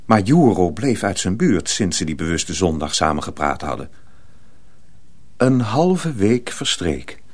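An actor as the storyteller of a radio drama, narrating a dark folk tale in a measured, scripted way. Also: male voice, low-pitched, sing-song, no echo, heavily acted.

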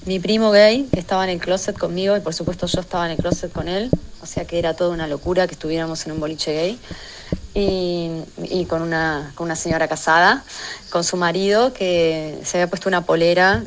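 A woman's voice: lowish voice, fairly even intonation, fairly quick. A woman in her thirties with an Argentine accent telling a personal anecdote in a recorded voice message. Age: 30s. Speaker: listener sending an audio message to a radio show. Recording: noisy voice message with background ambience.

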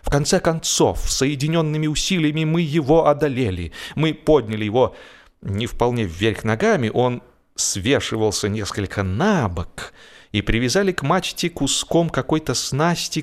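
A man narrating a humorous story expressively, theatrically, with deliberate pauses and stretched words. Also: medium pitch, animated.

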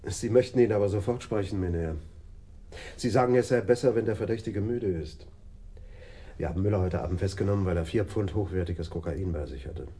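Deferential policeman, radio crime drama: formal, courteous, deep and extremely dark voice, slight background ambience. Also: a little fast, breathy edge. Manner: polite, formal reporting. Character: courteous male Amsterdam police officer, deferential, reporting.

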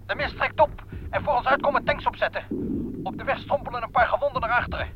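An actor playing a soldier urgently reporting from the front in a war radio play, terse and military. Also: male voice, very high-pitched, fast talker. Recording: muffled old radio recording, faint noise.

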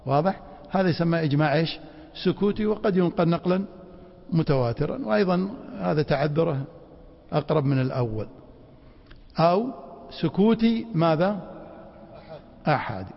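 A man teaching a class on Islamic law in a mosque, quizzing students with quick rhetorical prompts. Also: on the high side, lively intonation, gravelly. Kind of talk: classroom lecture with questions to students. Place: mosque study circle. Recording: dull lo-fi recording in a reverberant hall.

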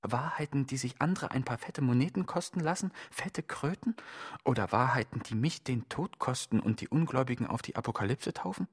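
A man's voice: mid-range voice, brisk, slightly rough. An actor playing an accused man in a radio play, insistently and rhetorically pleading his total innocence. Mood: reflective, defensive. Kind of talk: theatrical radio play monologue, litany-like.

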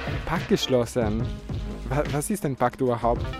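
A man's voice: medium pitch, normal speed, over loud music. A man putting short, polite, spontaneous questions to passers-by as an interviewer. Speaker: street interviewer.

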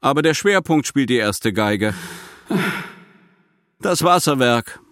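An actor playing a blunt, sardonic building worker in stylised, declamatory radio drama. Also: male voice, mid-range voice, animated, takes it slowly, fairly bright tone, dry recording, clean studio sound. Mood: defiant, bitter. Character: blunt, sardonic construction-site brigade worker.

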